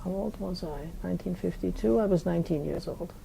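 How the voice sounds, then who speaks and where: An elderly Dutch-accented woman with a deeply gravelly, dark voice. She speaks slowly in an interview, often pausing and circling back to find the right word. Her register sits fairly low for a woman. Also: a little hiss or hum, low-quality recording. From Netherlands. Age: 80s. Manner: unhurried, searching for words. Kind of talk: oral history interview.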